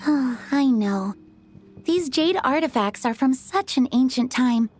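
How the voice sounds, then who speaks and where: A woman's voice: high voice, animated, slow pace, darkish tone, faint music behind. A woman playing a polite, polished antiques dealer in a video game, with a courteous, businesslike manner. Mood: polite, regretful. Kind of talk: video game character dialogue.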